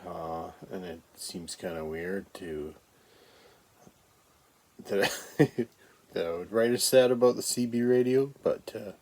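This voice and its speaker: Deep-voiced, unhurried Canadian man. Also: steady tone, darkish tone. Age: middle-aged. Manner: halting, rambling, informal with profanity. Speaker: comedian and show host. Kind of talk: casual vlog talk to viewers.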